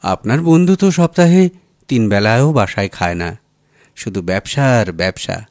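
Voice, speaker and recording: A man's voice: lowish voice, lively intonation, normal speed, a little mellow, no echo, studio quality. A man voicing a young housewife in an audiobook story, chatty and teasing, affectionate with a wistful streak. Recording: music under the voice.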